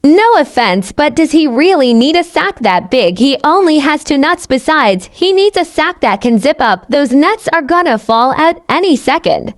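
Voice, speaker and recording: A woman making loud, blunt and bossy heckling interjections. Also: high voice, big pitch swings, moderate pace, hardly any pauses.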